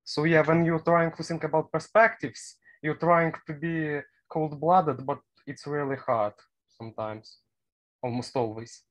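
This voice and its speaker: A young man with a Ukrainian accent, giving unscripted interview answers as a student, haltingly searching for words in a language that is not his first. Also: on the high side, steady tone, a bit bright, low-quality recording. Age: young adult. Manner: halting, searching for words in non-native English. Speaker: university student, interview guest.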